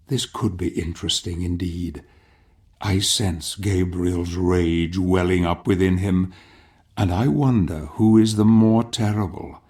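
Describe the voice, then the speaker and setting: An actor playing a grave, foreboding older storyteller, narrating a dark fantasy game in a solemn, theatrical way. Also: male voice, low voice. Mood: brooding, musing. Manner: solemn, theatrical, deliberate phrasing. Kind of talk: dramatic scripted game narration.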